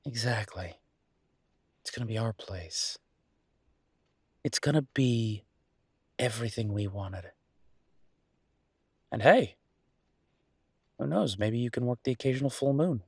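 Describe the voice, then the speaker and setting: A man playing an affectionate, slightly grumbling chef boyfriend in an intimate audio roleplay, with a husky voice and a casual, teasing, halting way of talking. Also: medium pitch, animated, a little mellow, no room sound, clean studio sound, full character voice. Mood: playful, teasing.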